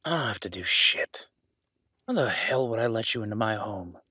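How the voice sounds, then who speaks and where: A man playing an irritated, suspicious, armed cabin dweller in a horror audio roleplay, speaking in a gruff, hurried, swearing manner. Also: fairly low pitch, animated, pushed and bright, no room sound, clean studio sound, heavily acted. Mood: angry, wary.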